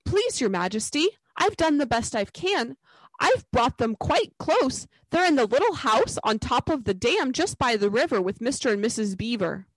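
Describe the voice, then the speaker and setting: A woman's voice: fairly high pitch, very animated, normal speed, dry recording, voice pushed up, poor audio. A woman voicing a timid young boy, polite, nervous and eager to please, in a storybook reading.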